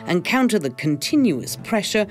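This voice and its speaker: A woman narrating an educational film from a script in a measured, formal, explanatory manner. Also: medium pitch, very animated. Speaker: documentary narrator. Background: faint music.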